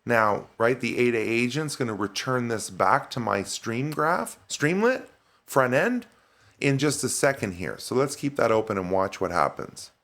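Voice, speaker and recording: A man presenting a software demo in a casual, informal way, thinking aloud as he works through it. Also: mid-range voice, lively intonation, moderate pace, gravelly. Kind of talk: casual screen-share tech demo.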